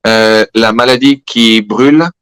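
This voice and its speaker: A man's voice: medium pitch, fairly even intonation, takes it slowly, fairly bright tone, clear, resonant tone, no room sound. A man with a French accent, a native French speaker, explaining at length in a conversational talk, hesitant with many fillers and self-corrections. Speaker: guest speaker.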